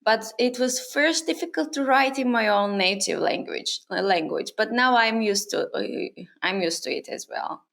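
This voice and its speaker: Gravelly-voiced woman, native Turkish speaker. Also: a little high, normal speed, hardly any pauses, fairly bright tone. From Turkey. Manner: thoughtful, with frequent fillers and restarts. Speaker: interview guest, freelancer. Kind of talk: casual interview conversation. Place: her home on an island.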